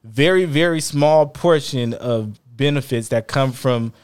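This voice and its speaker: A man's voice: a little slow, clean tone. Talkative man co-hosting a podcast. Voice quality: fairly high-pitched voice. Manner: animated, rambling with many restarts. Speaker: podcast co-host. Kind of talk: casual podcast chat.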